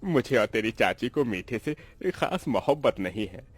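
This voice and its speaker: A man playing a poor, middle-aged farmer uncle in a cartoon story, gentle and doting. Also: mid-range voice, animated, normal speed, slightly rough. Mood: gentle, affectionate. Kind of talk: Hindi cartoon story dialogue.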